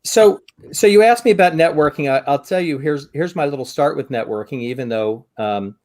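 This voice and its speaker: An American man in his fifties chatting on a podcast over a video call, reflective and wry, pausing as he works through his thoughts. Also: a little high, darkish tone. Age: 50s. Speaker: podcast co-host or guest. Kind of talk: conversational podcast discussion. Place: indoor room on a video call.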